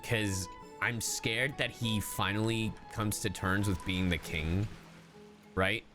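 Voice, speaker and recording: A man livestreaming his reactions to a video game in a casual, excitable, sweary way, with game music behind. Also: on the low side, slow. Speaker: streamer.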